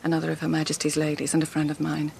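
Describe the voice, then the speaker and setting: A woman's voice: low-pitched, completely flat delivery, very fast, gravelly, darkish tone, a little hiss or hum. An actress playing a shrewd, composed lady of the court in a radio drama, formal and direct. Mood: poised, guarded.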